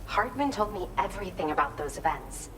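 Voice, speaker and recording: A woman's voice: lowish voice, animated, fairly quick, over music, close to an everyday voice, low-quality recording. A woman acting as an earnest, hopeful scientist in a video game, explaining things in an articulate way. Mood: grave, encouraging. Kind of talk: scripted game cutscene dialogue, expository.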